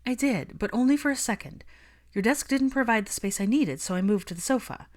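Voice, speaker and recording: An assertive, articulate witch from a fan-fiction audiobook, acted out by a woman reader. She speaks in measured, formal sentences with a pointed edge, standing her ground. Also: on the high side, expressive, darkish tone, pitched above the performer's own voice, close to an everyday voice. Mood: defiant, measured. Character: composed, assertive adult witch, defiant and articulate.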